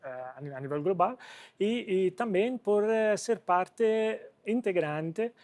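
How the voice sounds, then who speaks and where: A man's voice: high voice, expressive, darkish tone, no echo. A man from Italy speaking with an Italian accent as an interview guest, hesitating often as he searches for words. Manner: halting, hesitant delivery with repeated searching for words. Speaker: company president, interview guest. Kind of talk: interview answers on business.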